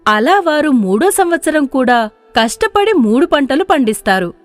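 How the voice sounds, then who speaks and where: A woman's voice: high voice, sing-song, normal speed, no room sound, soft background music. A woman narrating a scripted moral tale in storybook style.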